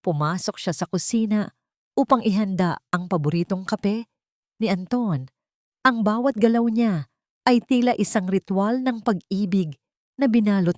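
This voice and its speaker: A woman's voice: mid-range voice, a little mellow, no echo, studio quality. A woman reading a scripted dramatic story in a solemn, melodramatic manner.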